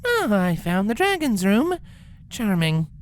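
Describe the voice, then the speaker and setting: A man's voice: high voice, huge pitch swings, unhurried, voice pushed up, full character voice. A man voicing a vengeful young female cartoon pony in a storybook reading, sarcastic and muttering to herself. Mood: scornful.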